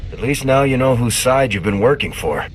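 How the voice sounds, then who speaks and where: An actor playing a stern, principled army lieutenant in a video game, speaking with controlled resolve. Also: male voice, medium pitch, lively intonation, normal speed, slight background noise, close to an everyday voice. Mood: stern, firm. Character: stern, principled male soldier hero, a lieutenant.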